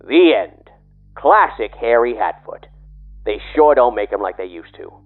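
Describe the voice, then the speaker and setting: Man playing a courteous, lively old-time radio announcer. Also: medium pitch, measured pace, narrow, tinny audio. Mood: excited narrating, then wistful. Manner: folksy, formal, hesitant when interrupted. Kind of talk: 1930s radio announcing acting. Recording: thin band-limited old-time radio sound.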